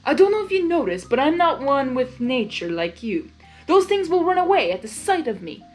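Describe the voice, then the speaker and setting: Storybook chaos creature, male, played by a woman: reluctant, grumbling, loud and theatrical, faint music underneath. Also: high voice, very animated, normal speed, pitched above the performer's own voice, rough recording. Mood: incredulous. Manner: exasperated, blustering.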